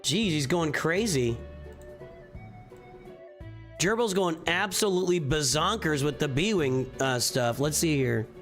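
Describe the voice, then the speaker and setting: A man's voice: fairly high pitch, lively intonation, takes it slowly, long pauses, fairly bright tone, faint music behind. A man hosting a casual live stream, reviewing game rosters in a rambling, offhand way, often correcting himself mid-sentence.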